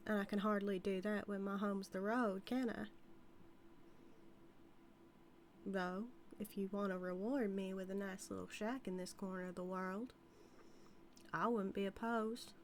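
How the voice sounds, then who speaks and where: A woman's voice: mid-range voice, steady tone, moderate pace, natural voice. Woman acting a teasing Southern outlaw cowgirl, noisy recording. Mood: cool, cocky. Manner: drawling, teasing, folksy. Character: reformed outlaw cowgirl, teasing, dominant, dangerously infatuated. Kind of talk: scripted audio roleplay, intimate character acting.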